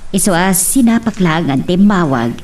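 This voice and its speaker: A woman playing a polite, respectful daughter with hesitant pauses in an Ilocano radio drama. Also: fairly low pitch, lively intonation, a little mellow. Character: polite, respectful grown daughter talking to her father. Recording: slightly distant mic with music underneath.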